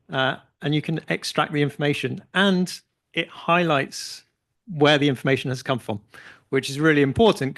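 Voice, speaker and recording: A man speaking as a panelist at an industry forum, relaxed and hesitant with many fillers. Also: on the high side. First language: English. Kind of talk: conversational panel discussion.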